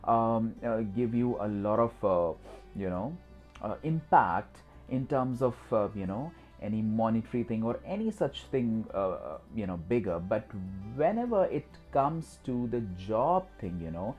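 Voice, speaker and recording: A man's voice: on the low side, very animated, measured pace, highs cut off, faint music behind. A man with an Indian accent, a native Hindi speaker, giving an instructional talk as host, repetitive and emphatic with frequent filler phrases. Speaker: host of a skills channel.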